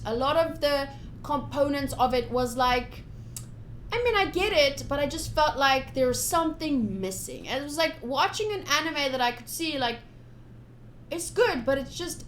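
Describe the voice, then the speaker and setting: A woman's voice: high-pitched, a bit bright, echoey room, slight background noise. A woman live-streaming a game, chatting casually and informally and speaking straight to her viewers. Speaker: live streamer playing a visual novel.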